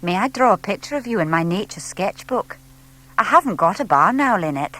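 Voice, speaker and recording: A woman's voice: mid-range voice, fairly quick, voice pushed up, rough recording. A woman voicing a friendly young lady artist who explains things patiently and enthusiastically in a children's audiobook. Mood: eager. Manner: animated, explaining gently to a small child.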